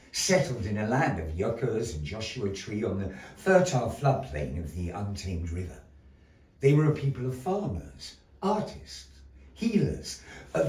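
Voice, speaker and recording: A man narrating a story theatrically as a live storyteller, declamatory and full of weighty pauses. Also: fairly low pitch. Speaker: storyteller, puppet show narrator. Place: indoor gallery performance space. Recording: echoey gallery room, distant mic.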